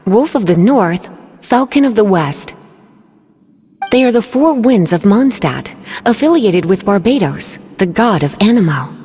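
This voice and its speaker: A woman's voice: mid-range voice, a little mellow. An actress voicing a languid, teasing, learned woman mage in a video game, with a knowing, theatrical delivery. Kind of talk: scripted video game voice acting. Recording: game music behind the voice.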